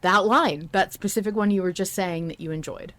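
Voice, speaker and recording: A woman hosting a casual fan discussion podcast, speaking in an emphatic, passionate and halting way. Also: fairly low pitch, fairly bright tone. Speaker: podcast host.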